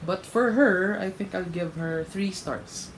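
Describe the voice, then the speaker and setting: A man's voice: sits high, a little slow, low-quality recording. Guy casually reacting and rating answers, chatty, laughs a lot, bit of hiss behind. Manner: chatty, laughs mid-sentence, frank critique. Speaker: reaction vlogger. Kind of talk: casual commentary, rating contestants.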